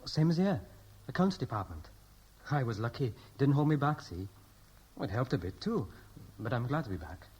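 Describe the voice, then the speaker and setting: Lively, husky young Welshman. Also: mid-range voice, moderate pace, long pauses, lo-fi sound. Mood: cheerful, easy. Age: young adult. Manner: boyish, hesitant, chatty. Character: eager, dutiful, unworldly young Welsh groom, aged twenty-two. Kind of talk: 1960s radio drama acting.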